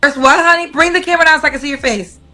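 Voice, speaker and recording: Bright, high-voiced woman running a live dating show. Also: steady tone, racing, soft background music. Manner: direct and bossy, uses endearments like honey and baby.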